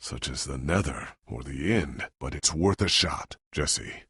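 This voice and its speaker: A very low-voiced heroic adventurer, solemn and earnest, speaking with formal gravity for a video game. His husky, rasping tone moves through wide dramatic swings of pitch. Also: expressive, unhurried, pushed and bright, no echo, clean studio sound, full character voice. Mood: worried. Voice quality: gravelly, husky. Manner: grave, formal, dramatic delivery. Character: noble ageing adventurer hero, solemn and earnest.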